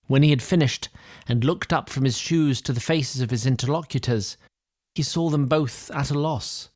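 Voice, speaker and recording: A man's voice: medium pitch, normal speed, darkish tone, no echo, studio quality. A male narrator in a mid-range voice, reading a fantasy audio drama. His scripted narration has a wry, storybook touch.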